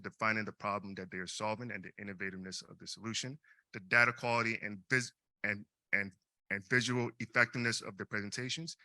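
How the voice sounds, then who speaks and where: A man's voice: lowish voice, sharp tone, no room sound. A young man, a first-year business student, hosting a live case competition and stumbling over repeated words. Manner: hesitant, frequent repeated words. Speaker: student event host. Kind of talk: live event emceeing.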